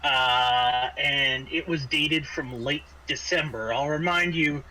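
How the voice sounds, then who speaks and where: Bright-voiced man as halting game master. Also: mid-range voice, rough recording. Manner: halting, repeats and restarts phrases. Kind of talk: improvised narration of a tabletop roleplaying game. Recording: faint background noise.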